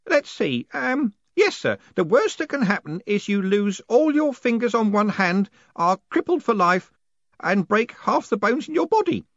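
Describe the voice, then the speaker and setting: A man voicing an elderly, deferential postman in an audiobook, eager and conspiratorial, with a hoarse edge. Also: sits high, very animated, unhurried, fairly bright tone, no room sound, highs cut off, pitched above the performer's own voice, exaggerated voice. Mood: cheerfully ominous. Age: older. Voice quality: hoarse whispering. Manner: servile, punctuating speech with "sir".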